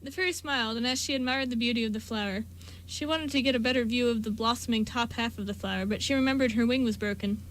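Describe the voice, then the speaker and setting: A woman's voice: a little high, fast, slight background noise, low-quality recording. A woman reading scripted story narration for an amateur radio drama.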